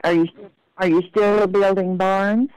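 A woman's voice: mid-range voice, fairly even intonation, unhurried, darkish tone, clean tone, no echo, poor audio. An older woman talking on the telephone in a plain-spoken, admonishing manner. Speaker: prank call recipient. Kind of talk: phone conversation.

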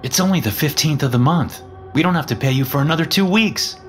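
A man's voice: a little high, quick pace, voice pushed up, lightly acted. A man voicing a young male college tenant, polite and uneasy, in a told story with music behind. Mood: uneasy, reasoning. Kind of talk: storytelling dialogue.

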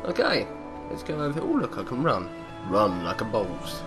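A man with a lowish voice chats through a gameplay session, tossing out jokey asides. Game music plays clearly behind him throughout. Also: huge pitch swings, moderate pace. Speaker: let's-play host. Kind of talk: casual gameplay commentary.

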